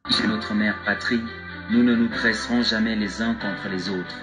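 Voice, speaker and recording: Low-voiced man reciting over loud music. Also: fairly even intonation. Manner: measured, solemn, declamatory. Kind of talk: solemn recited peace message.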